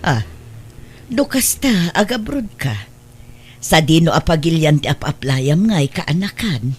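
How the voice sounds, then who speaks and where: A woman playing a nosy, gossiping village auntie with a husky voice in a radio drama. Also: low voice, very animated, a little slow, darkish tone, exaggerated voice. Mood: inquisitive. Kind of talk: Ilocano radio drama acting.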